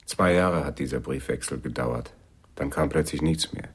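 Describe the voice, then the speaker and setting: An actor in a radio play playing a disillusioned, melancholy male jazz pianist with a very deep, gravelly voice. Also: moderate pace. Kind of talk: German radio play acting.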